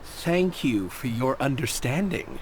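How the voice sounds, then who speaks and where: An actor playing a nervous, ingratiating gemstone merchant in a video game, with a hesitant delivery. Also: male voice, medium pitch, very animated, slow, a little hiss or hum.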